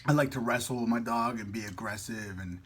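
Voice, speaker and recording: A man's voice: medium pitch, a little fast, fairly bright tone, slight background noise. A man chatting casually as a vlog host, playful and slangy, rambling as he goes. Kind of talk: casual chat to camera.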